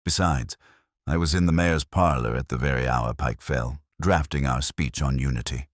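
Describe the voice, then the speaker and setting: Man playing a pompous, very deep-voiced councillor. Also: normal speed, dry recording, studio quality. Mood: pompous, aggrieved. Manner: oratorical, as if addressing posterity. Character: pompous, self-important male town councillor.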